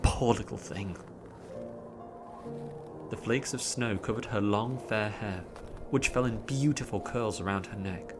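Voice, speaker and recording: A man narrating a story in a dramatic, expressive audiobook style, drawing out words theatrically. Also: lowish voice, a little slow, long pauses. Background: music behind the voice.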